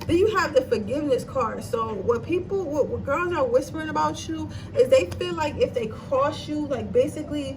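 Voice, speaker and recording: A woman's voice: high voice, normal speed, hardly any pauses. A woman giving a casual tarot reading, chatty and blunt, swearing freely and checking in with her viewers, her nose slightly stuffy. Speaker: tarot card reader. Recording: distant mic with noisy background.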